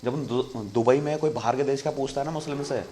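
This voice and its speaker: Male channel host, casual explainer, mid-pitched, conversational, light background noise. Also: fairly quick, mellow. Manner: conversational, keeps saying "friend" to viewers.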